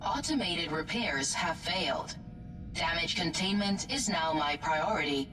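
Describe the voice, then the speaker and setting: A woman voicing a spaceship's artificial intelligence in a sci-fi game, formal, measured and detached. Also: on the low side, animated, distant mic, over music. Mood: calm, detached. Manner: formal, measured, clipped announcements. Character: calm female ship's computer AI, formal and detached. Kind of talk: video game voice acting, sci-fi horror.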